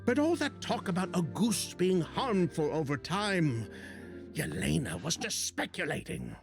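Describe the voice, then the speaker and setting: A man's voice: a little high, sing-song, unhurried, gravelly, over music, exaggerated voice. An actor playing a defensive middle-aged perfume businessman in a video game, dismissive and self-justifying.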